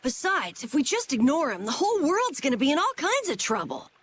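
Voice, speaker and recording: An actress playing an eager, earnest youngster in a video game. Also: female voice, high-pitched, huge pitch swings, brisk. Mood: earnest, puzzled.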